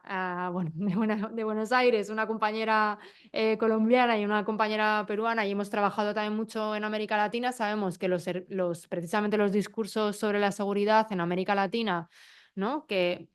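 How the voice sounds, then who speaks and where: A woman, speaking as a panelist in a round-table discussion and explaining her points with quick asides. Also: mid-range voice, clear, resonant tone. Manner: animated, explanatory, quick asides and brief laughs.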